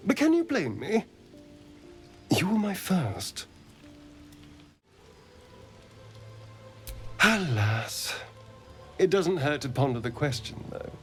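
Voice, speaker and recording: A sly, refined vampire flirt from a video game. He speaks slowly in a theatrical, teasing drawl, his pitch swooping widely and sometimes dropping into breathy asides. Faint music plays behind him. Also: a bit bright, full character voice. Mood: apologetic, coy. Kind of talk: video game companion dialogue.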